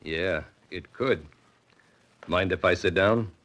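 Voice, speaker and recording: An actor playing a cool, menacing gunman in a 1940s radio crime drama, curt, unhurried and mocking. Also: deep voice, fairly bright tone. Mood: mocking menace. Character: cool, menacing male gunman giving orders.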